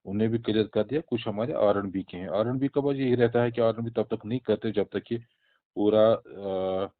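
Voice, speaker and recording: A male government official with a Kashmiri accent briefs an interviewer in a fairly low voice. He explains point by point and drops in English terms. He is heard over a tinny, narrow phone line. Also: normal speed. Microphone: phone call.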